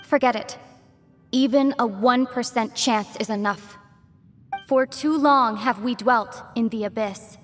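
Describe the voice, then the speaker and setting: A woman playing a resolute, regal young commander who speaks formally and gravely in a dramatic video game. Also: a little high, measured pace, fairly bright tone. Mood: solemn resolve. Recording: long reverb, music behind.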